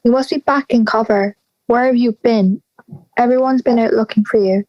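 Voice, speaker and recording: Blunt schoolmate passing on gossip young woman acting, matter-of-fact, slightly high voice, radio play style. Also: steady tone, moderate pace, darkish tone, dry recording, dull audio, natural voice. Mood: urgent, gossipy. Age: teenage or young adult. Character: blunt gossipy school friend on a phone call. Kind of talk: audio drama dialogue.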